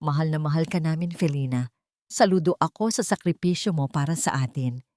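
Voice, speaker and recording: A woman voicing a gentle, tired, trusting husband in an audio drama story. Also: low-pitched, a little fast, no echo, natural voice. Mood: tender, grateful. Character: tired, trusting husband, puzzled but gentle jobless father.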